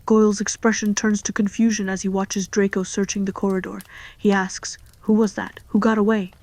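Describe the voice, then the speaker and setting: A woman's voice: mid-range voice, level tone, normal speed, a little mellow, no echo. A woman reading a scripted story with dialogue. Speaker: story reader.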